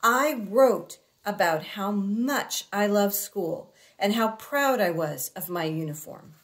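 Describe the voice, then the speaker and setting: A woman reads a children's story aloud in a mid-range voice. Her pace is unhurried and deliberate. She is expressive, leaving dramatic pauses between phrases. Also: medium pitch. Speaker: picture book reader.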